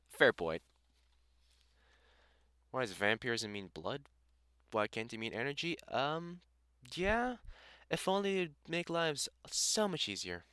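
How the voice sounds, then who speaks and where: Guy acting as middle-aged british vampire hunter, teasing and sweary but kind, crisp voice, really dry close audio roleplay. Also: mid-range voice, sharp tone, no room sound, heavily acted. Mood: wry, caring. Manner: teasing, sweary, blunt, affectionate. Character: gruff, kindly middle-aged male vampire hunter and carpenter. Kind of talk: audio roleplay acting, intimate one-sided conversation.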